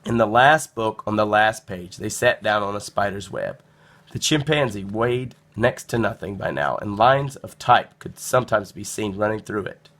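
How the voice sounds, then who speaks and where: Guy reading a kids book out loud, super expressive, bright voice, bit gravelly in spots. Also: on the low side, moderate pace. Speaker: storybook narrator. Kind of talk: expressive children's read-aloud narration.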